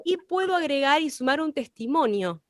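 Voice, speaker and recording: A woman with a high voice teaches in an informal class style. She explains through examples and rhetorical questions, and the sound is close and dry. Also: lively intonation, moderate pace, no echo. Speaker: radio workshop teacher.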